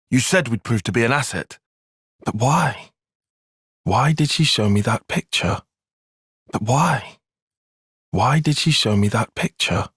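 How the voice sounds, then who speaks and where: Game cutscene role: earnest young male tactician, bookish and analytical, animated intonation, slightly husky, dry close sound. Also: mid-range voice, dry recording. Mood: measured, reserved. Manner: measured, formal, analytical phrasing. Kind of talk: video game cutscene voice acting.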